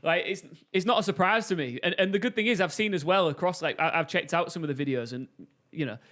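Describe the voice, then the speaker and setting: A man co-hosts a laid-back podcast, joining in with enthusiastic, praising banter. His voice sits fairly high, and he talks quickly. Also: fairly bright tone. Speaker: podcast co-host. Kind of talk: casual podcast chat.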